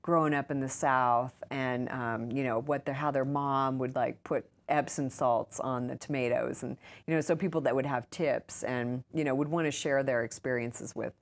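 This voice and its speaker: Low-voiced woman chatting in an interview. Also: steady tone, normal speed, hardly any pauses. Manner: informal, acts out quoted remarks. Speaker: interview guest, author and urban farmer. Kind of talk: conversational interview answers, anecdotal.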